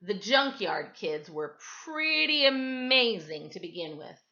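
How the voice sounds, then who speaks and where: A woman reading a children's story aloud in an animated, expressive way. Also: mid-range voice, slow pace, dull audio. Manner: animated, dramatizes dialogue. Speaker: storybook reader, teacher.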